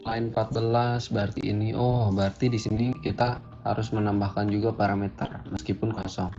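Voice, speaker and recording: Guy explaining code step by step, lowish mellow voice, easygoing and chatty, dull lo-fi sound w faint music. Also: flat delivery, brisk. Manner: casual, thinks aloud while coding. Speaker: coding instructor. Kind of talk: screen-recorded programming tutorial.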